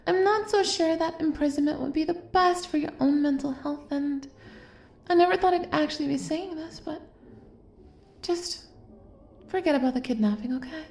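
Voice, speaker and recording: Very high-pitched, doting yandere girl played by a woman. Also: normal speed, a little mellow, roomy sound. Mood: earnest, reassuring. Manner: cutesy, gushing, coaxing. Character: obsessive, doting young female yandere kidnapper, gushing and sweet. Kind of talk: intimate roleplay audio acting, spoken straight to listener.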